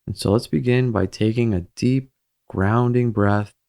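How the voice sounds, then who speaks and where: A man with a low, noticeably creaky voice guides a meditation. His delivery is gentle and measured, leaving pauses between short phrases. The intonation stays fairly even throughout, giving it a soothing, steady feel.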